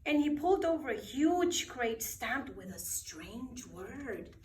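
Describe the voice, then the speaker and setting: A woman reading a bedtime story aloud expressively, with dramatic, deliberate phrasing for children. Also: on the high side, very animated, darkish tone, a little hiss or hum. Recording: roomy echo, distant microphone.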